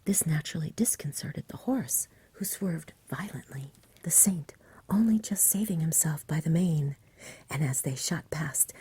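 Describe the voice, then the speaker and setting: A woman reading a story in a hushed, soothing ASMR whisper, close to the microphone. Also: deep voice. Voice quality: breathy whisper. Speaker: ASMR reader.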